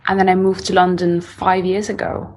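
Young Italian-accented woman, slightly low voice. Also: monotone, moderate pace, a little hiss or hum. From northern Italy. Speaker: singer-songwriter being interviewed. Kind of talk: casual interview conversation.

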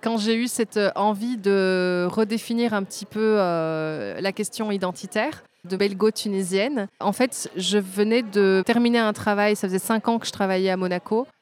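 A woman's voice: mid-range voice, normal speed, few pauses. Enthusiastic woman designer. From Belgium. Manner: warm, enthusiastic, frequent hesitations. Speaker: designer and guest. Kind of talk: conversational interview. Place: trade fair.

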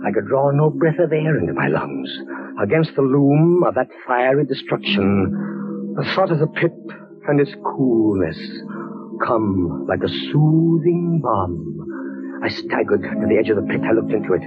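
An actor in a 1940s radio horror drama playing a desperate, feverish captain imprisoned in a dungeon, theatrical and melodramatic. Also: male voice, mid-range voice, big pitch swings, a little slow, over loud music. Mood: horrified narration. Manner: theatrical, melodramatic, swinging from hushed dread to frantic cries. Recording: noisy muffled old radio recording.

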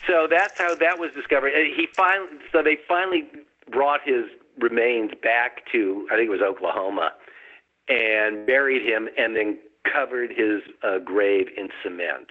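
A man's voice: mid-range voice, moderate pace, phone-quality sound. A man who is the guest author, telling a story in a casual chat with dry humor and frequent chuckles.

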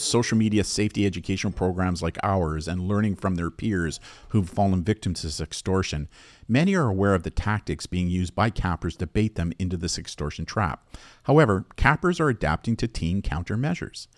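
Animated low-voiced man narrating an educational podcast. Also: brisk, no echo, studio quality. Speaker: podcast host, online safety educator.

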